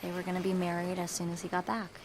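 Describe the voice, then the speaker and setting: A woman voicing a guarded young woman in an audio drama, quiet and wry about her grief. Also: on the low side, monotone, fast talker, background noise, natural voice. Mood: wistful. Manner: dry, wry, subdued.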